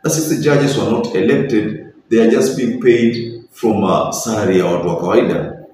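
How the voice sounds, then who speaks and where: A man's voice: fairly low pitch, moderate pace, darkish tone, a little hiss or hum. A young man with a Kenyan accent giving earnest, opinionated political commentary to camera from a small bedroom, slipping in Swahili phrases. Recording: very echoey room, slightly distant mic.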